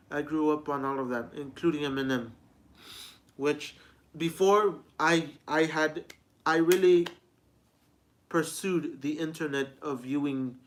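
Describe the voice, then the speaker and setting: A man's voice: on the high side, fairly bright tone. A man in his twenties giving a rambling, repetitive personal vlog talk, often restarting his sentences as a music reviewer. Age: 20s.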